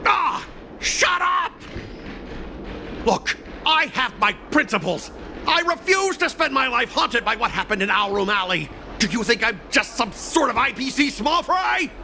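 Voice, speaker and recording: An actor voicing a petty, boastful video game villain and sore loser, theatrical and prone to shouting. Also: male voice, very high-pitched, very animated, unhurried, vocal fry, slight background noise, full character voice. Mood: indignant, boastful. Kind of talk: video game voice acting, melodramatic villain monologue.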